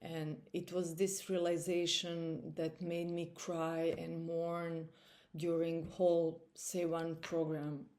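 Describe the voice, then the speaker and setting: A woman in her forties with a Croatian accent, giving a slow, earnest personal testimony with measured pauses. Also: deep voice, monotone, darkish tone. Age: 40s. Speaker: testimony speaker.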